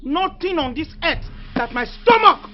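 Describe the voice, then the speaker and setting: A mocking, defiant woman, played by a woman whose high voice climbs and drops as she taunts. The sound is dull and lo-fi with faint music in the background. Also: animated, a little slow.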